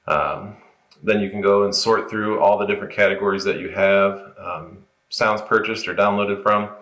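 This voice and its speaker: A man's voice: fairly low pitch, fairly even intonation, normal speed, a bit bright. A man presenting an informal, unscripted app walkthrough, explaining each step as he goes in a roomy space. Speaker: product tutorial presenter.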